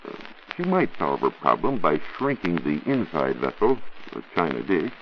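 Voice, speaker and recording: A very deep-voiced American man explains science as a reporter on 1940s radio. He speaks deliberately and instructively, with his pitch moving freely for emphasis. The old recording is muffled, with audible noise. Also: big pitch swings. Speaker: science reporter answering listener questions. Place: radio studio.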